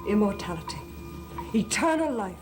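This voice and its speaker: An actress playing a haughty, cold evil spirit posing as a wife in a gothic soap opera, speaking dramatically. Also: female voice, mid-range voice, lively intonation, moderate pace, loud music behind the voice, natural voice. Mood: imperious.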